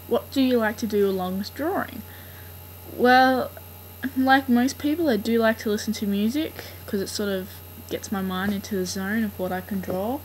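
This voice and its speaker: A woman from Australia with an Australian accent, answering fan questions in a casual, rambling way with frequent ums. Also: medium pitch. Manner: rambling, hesitant, many ums. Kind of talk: casual voice meme monologue. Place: home room. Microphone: built-in laptop mic. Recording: noisy home laptop recording.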